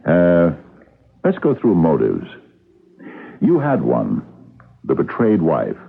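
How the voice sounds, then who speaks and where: An actor playing a dogged, wry police captain in a radio mystery, with a gravelly voice and a dry, hesitant, probing manner. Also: male voice, low voice, huge pitch swings, slow pace, full character voice. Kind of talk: radio mystery drama acting. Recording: muffled lo-fi old radio broadcast.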